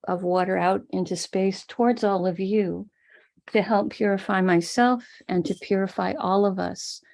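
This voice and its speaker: A woman with an American accent, gently explaining and guiding a live online ritual as a spiritual teacher. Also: medium pitch, vocal fry. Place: home room in Florida.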